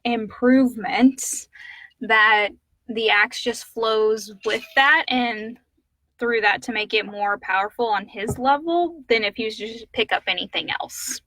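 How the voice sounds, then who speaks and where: Casual higher-voiced woman co-host, dry sound. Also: a little slow, a bit bright, no room sound, rough recording. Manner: informal, conversational. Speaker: co-host reading listener questions. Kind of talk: casual live-stream chat.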